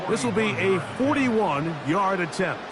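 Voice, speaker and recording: A man's voice: high voice, lively intonation, unhurried. A man with an American accent calling American football play-by-play as a game commentator. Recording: loud crowd noise, game audio in background.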